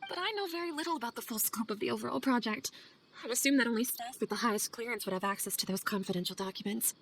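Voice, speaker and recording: A woman's voice: fairly high pitch, quick pace, a bit bright, rough recording. An actress voicing a weary, anxious female scholar in video game dialogue, earnest, hesitant and confessional in manner. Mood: earnest, troubled. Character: guilt-ridden female scholar, weary, anxious assistant to sage. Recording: game audio over clear ambient noise.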